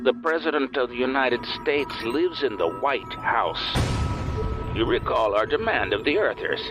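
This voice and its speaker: An actor playing a condescending, menacing alien flight control officer over a radio link in a comic sci-fi audio drama. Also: male voice, mid-range voice, expressive, a little slow. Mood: stern. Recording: muffled radio transmission over music.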